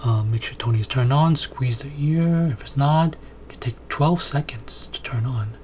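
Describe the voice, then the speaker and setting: A man's voice: mid-range voice, moderate pace, a little mellow, a little hiss or hum. A man in his thirties giving casual, conversational unboxing and setup commentary as he thinks aloud in a room at home. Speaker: video host, product reviewer. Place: small home room, indoors.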